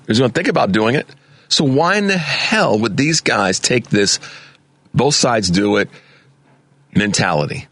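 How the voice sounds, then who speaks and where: Fervent deep-voiced radio host. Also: male voice, fairly bright tone, dry recording. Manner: fervent, preachy, repeats words when stirred. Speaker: radio talk show host. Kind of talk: impassioned political talk radio monologue. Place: radio studio. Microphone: studio mic.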